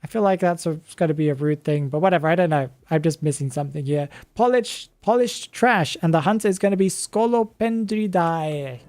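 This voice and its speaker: A streamer from the UK with a British accent, chatting casually on a live stream, reading viewer comments aloud, chuckling often and thinking out loud. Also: mid-range voice, a little fast, hardly any pauses, dry recording, faint music behind. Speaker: game streamer.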